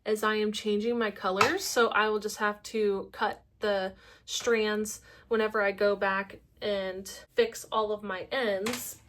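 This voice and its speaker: A woman in her late twenties chatting casually about her crafting projects in a relaxed monthly update, with the odd self-deprecating joke. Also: mid-range voice, unhurried, far from the mic, background noise. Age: late 20s. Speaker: crafting vlogger.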